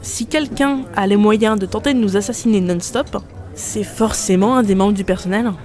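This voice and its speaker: A woman's voice: medium pitch, normal speed, darkish tone, faint music behind, close to an everyday voice. A woman playing a sardonic holidaymaker full of questions in a comic audio drama. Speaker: amateur voice actor in an audio series.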